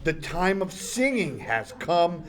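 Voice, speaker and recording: An actor playing an earnest wedding guest giving a measured recitation at a sitcom table read. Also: male voice, high-pitched, sing-song, fairly bright tone, exaggerated voice. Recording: live room with audience noise.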